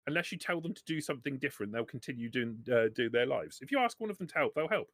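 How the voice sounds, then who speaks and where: A man's voice: mid-range voice, lively intonation, quick pace, no echo. Dry, close-sounding man running a game. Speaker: game master. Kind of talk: casual tabletop game narration.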